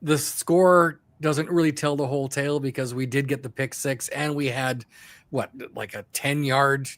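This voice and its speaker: A man's voice: medium pitch, steady tone, normal speed, no echo. A man co-hosting a sports podcast with casual, wry, joking banter. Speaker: podcast co-host.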